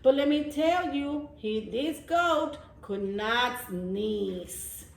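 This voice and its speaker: A woman reading a picture book aloud to young children, pausing to explain things simply like a teacher. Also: on the high side, lively intonation, creaky. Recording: echoey room.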